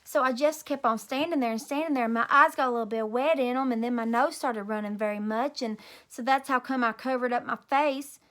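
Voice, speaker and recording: Lady reading a kids book out loud, bright high voice, expressive teacher style. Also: brisk. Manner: animated, emphatic. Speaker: teacher reading aloud to children. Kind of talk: expressive children's storybook reading.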